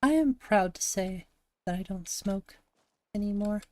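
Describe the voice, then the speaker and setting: A woman from Montana with a gravelly voice, chatting casually to her live-stream audience while she plays a game, thinking aloud and answering chat. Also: medium pitch, measured pace, dark tone, no room sound. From Montana, USA. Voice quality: gravelly, slightly raspy.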